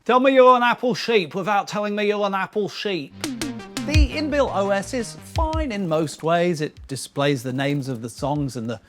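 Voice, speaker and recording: A man's voice: high voice, moderate pace, a bit bright, faint music behind. A middle-aged man narrating a scripted vlog as host, chatty and self-deprecating, with wry asides. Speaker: YouTube host.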